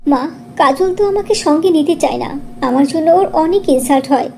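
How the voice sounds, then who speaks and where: A woman's voice: high-pitched, moderate pace, a little mellow, a little hiss or hum. A woman voicing a meek, hurt young schoolgirl in a cartoon story. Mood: dejected.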